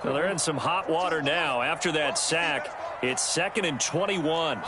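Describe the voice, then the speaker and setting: A man's voice: fairly high pitch, measured pace, noisy background, lightly acted. A man playing an American play-by-play announcer, calling a football game in a polished broadcast style. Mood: measured. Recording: loud game sound behind the voice. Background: loud football game ambience.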